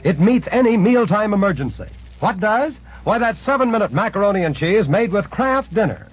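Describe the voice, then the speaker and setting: An American man working as a radio announcer, delivering a scripted 1940s advertising pitch. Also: animated, moderate pace, slight background noise. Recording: muffled vintage radio recording.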